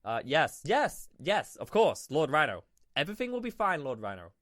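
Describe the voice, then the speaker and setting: A man's voice: a little high, wildly swooping pitch, moderate pace, a bit bright. A man playing an obsequious, nervous Imperial officer in a Minecraft roleplay, flustered and over-polite. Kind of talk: hobby Minecraft roleplay acting.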